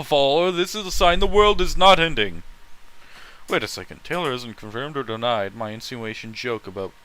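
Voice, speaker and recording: A man's voice: mid-range voice, sharp tone, a little hiss or hum, rough recording. A man reading fan fiction aloud and adding casual, blunt, opinionated commentary with heavy swearing.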